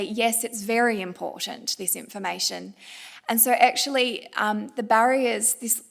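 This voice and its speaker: A woman with an Australian accent speaks as a conference panelist. Her voice sits at a middling pitch with noticeable creak. She explains her points in a formal talk, pausing often between phrases. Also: fairly bright tone. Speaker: panel speaker, director of a climate law non-profit.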